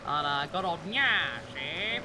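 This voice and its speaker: A woman's voice: fairly low pitch, animated, takes it slowly. A woman live streaming a video game, chatting casually as she plays. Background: game music.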